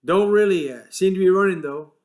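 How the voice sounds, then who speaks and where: A man voicing a small, irritable ranch hand who talks gruffly, swears and sounds fed up. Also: high voice, fairly quick, a little mellow, voice pushed up, lightly acted. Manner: gruff, exasperated, swearing, rough rural speech.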